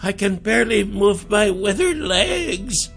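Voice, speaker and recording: A man voicing a frail, withered old man who is timid and crafty, with a trembling, creaky, whiny voice. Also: very animated, a little slow, a bit bright, faint music behind, exaggerated voice. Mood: pleading. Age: older. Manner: whiny, pleading, high strained voice.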